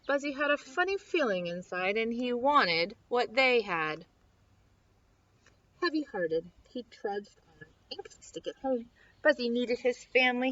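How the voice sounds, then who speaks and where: A woman reading a children's picture book aloud expressively, with dramatic, drawn-out emphasis on key words. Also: on the high side, fairly bright tone. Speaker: story time reader. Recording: dull lo-fi sound.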